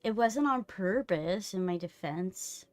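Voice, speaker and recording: A woman streaming a game with a friend in casual, playful banter. Her pitch moves around a lot, giving her an animated, teasing delivery. Faint music plays under her voice. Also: a little slow. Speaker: streamer hosting a co-op visual novel playthrough.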